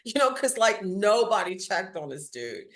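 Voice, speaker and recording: An American woman talks casually as an interview guest. Her voice is mid-pitched with a noticeable creak of vocal fry. She tells stories in an animated, conversational way. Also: moderate pace. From Washington, D.C., United States. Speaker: interview guest, audio theatre creator. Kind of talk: casual chat.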